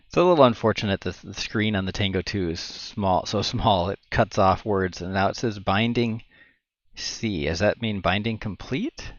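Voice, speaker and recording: A middle-aged man giving an informal step-by-step tech tutorial on a headset mic indoors, explaining haltingly as he thinks aloud. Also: fairly low pitch, no room sound, dull audio. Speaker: tutorial presenter. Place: indoor room at home. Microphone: headset boom microphone.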